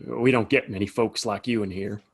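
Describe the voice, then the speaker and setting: A man playing a weary Old West saloon keeper in an audio play, husky, hesitant and folksy. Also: lowish voice, fairly even intonation, brisk. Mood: curious, folksy. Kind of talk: Western audio play acting.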